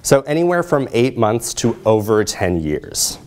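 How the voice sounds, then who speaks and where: A man's voice: medium pitch, very animated, a little slow, a little mellow, a little hiss or hum. A man in his thirties giving an academic research presentation in a conversational way, checking in with his audience. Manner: conversational, checks in with audience using short tag questions. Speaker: social work researcher and presenter. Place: lecture room, standing by projection screen.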